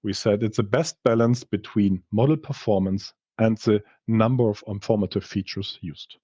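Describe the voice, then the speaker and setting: A man who speaks English as a second language gives a technical research presentation in a halting, explanatory way, correcting himself as he goes. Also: on the low side, warm tone, dry recording. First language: not English. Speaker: researcher presenting study results. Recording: slightly dull, dry lo-fi audio.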